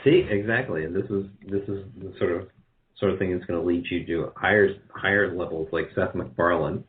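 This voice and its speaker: Deep-voiced male guest over phone. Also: fairly even intonation, a little fast. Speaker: radio show guest, radio drama producer. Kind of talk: casual interview chat. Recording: narrow phone-line sound.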